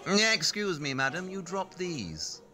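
An actor playing an arrogant, brilliant detective in an audio drama, haughty, clipped and formal. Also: male voice, sits high, very animated, slow, bright, forceful tone, faint music behind, heavily acted. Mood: brisk, probing.

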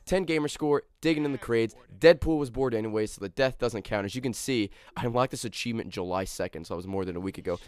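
A young man hosts a casual video game guide, his voice raspy and worn from overuse. He talks quickly and loosely, full of fillers, explaining each step over the gameplay at a fast clip. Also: on the low side. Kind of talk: casual gameplay walkthrough commentary.

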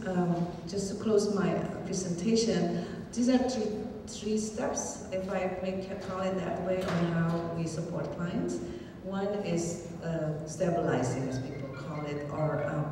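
A woman's voice: lowish voice, background noise. A middle-aged woman, a native Indonesian speaker, gives an earnest talk as a shelter advocate, with many fillers and repeated phrases. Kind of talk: public talk. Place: large hall with an audience. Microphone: podium mic, recorded by a far camera. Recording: roomy hall reverb from far off.

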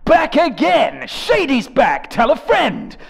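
A young man reading song lyrics as a theatrical dramatic performance, jumping between shouted lines and low mutters with a gravelly edge. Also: wildly swooping pitch, takes it slowly. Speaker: comedic dramatic reader of rap lyrics. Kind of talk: over-the-top dramatic reading.

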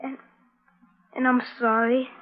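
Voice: high voice, little pitch movement, slow pace. A performer playing an earnest, devoted young boy who pleads in a 1950s radio drama. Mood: earnest, anxious. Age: child. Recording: dull old radio recording with music.

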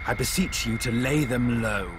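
A man's voice: medium pitch, normal speed, noisy background, natural voice. A man playing a pompous, indignant royal brother in a fantasy game, formal and given to bluster. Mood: pleading, defensive. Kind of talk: video game cutscene acting.

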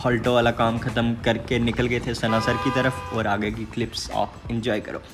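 A man's voice: medium pitch, little pitch movement, normal speed, loud music behind the voice. A man chatting informally to viewers as a travel vlogger, calling them bro. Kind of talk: casual vlog chat to camera.

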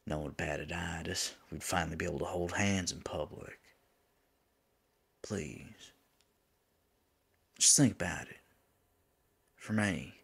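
A lovesick demon acted by a man in an intimate ASMR audio roleplay. His voice is very low, gravelly and husky, and he speaks tenderly and pleadingly to his angel lover, with folksy turns of phrase. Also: slow pace, long pauses, fairly bright tone, heavily acted.

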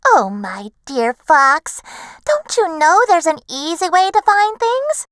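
A woman's voice: extremely high pitch, very animated, slow pace, a bit bright, no room sound, studio quality, voice pushed up, full character voice. A woman voicing a knowing female deer in a storybook tale, sweet and lilting, with a gossipy manner. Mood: knowing, teasing.

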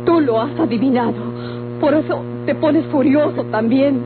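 An actress playing an anguished, defiant daughter in a Mexican radio drama, speaking with melodramatic pleading in a Mexican accent. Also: female voice, high-pitched, normal speed, noisy background, close to an everyday voice. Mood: weary, firm. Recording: tinny, humming old radio recording with music.

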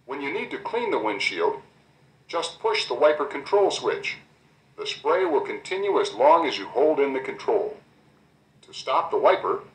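An American man reading a scripted instructional guide, addressing the listener directly in a clear, explanatory way, on an old cassette recording. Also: medium pitch. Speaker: instructional narrator. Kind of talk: scripted car owner's guide narration. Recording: roomy replay of 1990 cassette tape.

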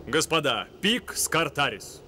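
An actor playing a courteous, unflappable lion explorer in a cartoon, speaking in a measured, formal way. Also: male voice, fairly high pitch, very animated, pushed and bright, a little hiss or hum, exaggerated voice. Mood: composed. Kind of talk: cartoon dub. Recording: music behind the voice.

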